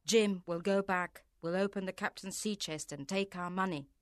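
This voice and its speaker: A man's voice: sits high, fairly even intonation, normal speed, no echo, clean studio sound, voice pushed up, natural voice. A man voicing a stubborn middle-aged mother who keeps an inn, speaking firmly in an audiobook. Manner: firm, insistent.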